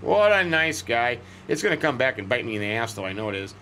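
A middle-aged man with a slightly hoarse, scratchy voice, rambling folksy commentary as he plays a farming game. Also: mid-range voice, very animated, quick pace, bright, forceful tone. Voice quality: scratchy, cracky throat. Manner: rambling, folksy, chatty asides. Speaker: let's play host.

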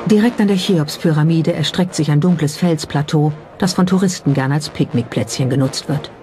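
Documentary voiceover, mid pitch, measured and explanatory, music playing behind. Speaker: documentary narrator. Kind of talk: scripted documentary narration. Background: clearly audible background music.

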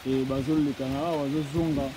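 A man's voice: mid-range voice, little pitch movement, a little fast, low-quality recording. A man gives a casual field report outdoors in open grassland. He films on a handheld phone and switches between Lingala and French, chatty and repeating words. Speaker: reporter presenting a building project.

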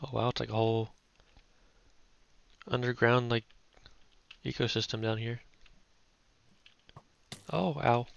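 A young man giving relaxed, chatty gameplay commentary, thinking aloud as he plays. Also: mid-range voice, slow pace, creaky, long pauses, smooth, lo-fi sound. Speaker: gaming YouTuber.

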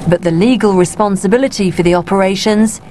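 A woman with a British accent giving scripted documentary narration in a calm, even way. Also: mid-range voice, fast, background noise.

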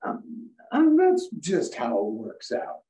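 A man's voice: medium pitch, huge pitch swings, takes it slowly, dark tone, distant mic. Man acting a sly older radio husband, roomy sound. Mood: explaining, fumbling. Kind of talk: 1940s radio comedy acting. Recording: roomy, muffled, off the mic.

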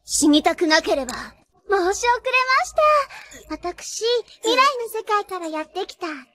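Casting: an anime angel girl, extremely high childlike voice, earnest and over-polite, a hapless would-be killer who flusters easily. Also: animated, normal speed, pushed and bright, exaggerated voice. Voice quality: childlike, cutesy. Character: clumsy, polite young angel girl sent to kill. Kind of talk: Japanese anime character acting.

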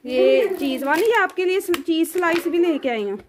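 A woman's voice: very high-pitched, expressive, moderate pace. A woman in her thirties, from Pakistan, chattily listing groceries one by one in a casual home vlog, filmed on a handheld phone. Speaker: vlogger. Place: home floor strewn with groceries. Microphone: handheld phone camera. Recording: noisy home phone recording.

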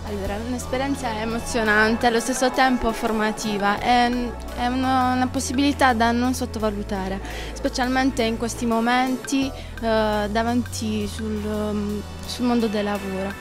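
A young woman, a student trainee, giving a spontaneous, halting interview answer into a handheld microphone indoors. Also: a little high, fairly even intonation. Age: young adult. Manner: halting, searching for words. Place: classroom, indoors. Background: music.

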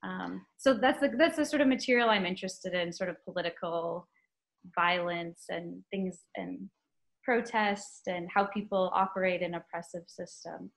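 A young American woman speaks in an easy, friendly way, often softening her points with hedging words. She is talking over a video call from her kitchen table. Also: lowish voice, moderate pace, gravelly. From United States. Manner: friendly, relaxed, uses "sort of" and "um" a lot. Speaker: writer and professor.

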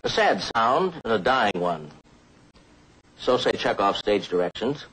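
Husky, low-voiced older man lecturing. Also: big pitch swings, a bit bright, low-quality recording. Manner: deliberate, dramatic emphasis. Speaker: presenter of an educational film. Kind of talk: measured scripted lecture to camera.

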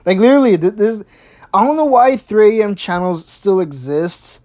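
Woman doing snarky reaction commentary, swears a lot, mid-pitched casual voice. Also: moderate pace, dark tone. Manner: sarcastic, swears a lot, mimics in squeaky voices. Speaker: host.

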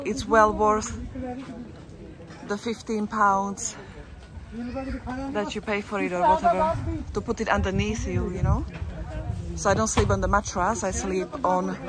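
A woman vlogging while walking through an outdoor city market, chatting casually, rambling and correcting herself, often laughing. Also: medium pitch. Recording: outdoor street with traffic noise. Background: traffic and street bustle.

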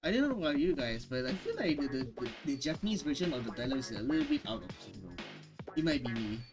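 A man's voice: medium pitch, sing-song, fast. A young man giving casual, unscripted reaction commentary on a headset mic, with hesitant, repetitive phrasing. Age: young adult. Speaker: reaction video host. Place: small room at home. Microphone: headset microphone. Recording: music behind the voice.

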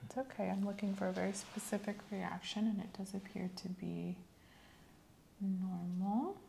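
A young woman plays a clinical examiner in an ASMR roleplay. She gives gentle, measured instructions in a soft, creaky voice, with some room noise behind her. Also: medium pitch, fairly even intonation. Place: small room set up as an exam office.

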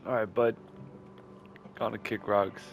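A man's voice: lowish voice, flat delivery, unhurried, phone-quality sound, slight background noise. A man playing a co-op video game, giving casual, slangy, joking reactions as he plays. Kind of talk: casual gameplay chat and reactions.

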